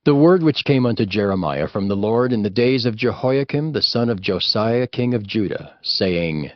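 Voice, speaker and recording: A man narrating scripture from a script in a solemn, expressive way. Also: on the low side, moderate pace, no room sound. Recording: dry, dull audio with music behind.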